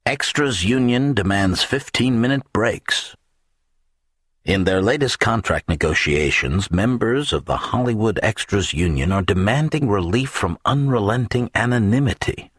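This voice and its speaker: Male audiobook narrator, deep and raspy, wry theatrical read of a satirical first-person novel. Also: expressive, measured pace, fairly bright tone, no echo, studio quality. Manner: wry, dramatic delivery.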